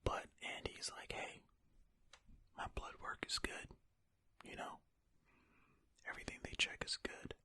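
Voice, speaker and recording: An ASMR host speaking in a breathy, unvoiced whisper, reading aloud and commenting in a gentle, chatty, informal way. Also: unhurried, pauses often.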